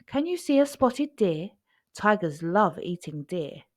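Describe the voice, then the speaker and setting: A patient mother tiger, voiced by a woman reading a storybook. She instructs her young cubs in an expressive, animated voice, with a close, dry sound. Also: mid-range voice, a little slow, dry recording. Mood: calm, instructive. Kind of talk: children's storybook character voice.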